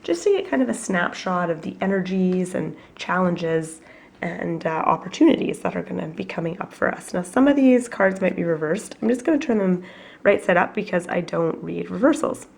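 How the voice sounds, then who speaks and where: Woman tarot reader, husky with a cold, gravelly and lowish, chatty at home. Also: animated, moderate pace, darkish tone. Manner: chatty and reflective, with many fillers. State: has a cold, coughing. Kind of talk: casual weekly tarot reading to camera.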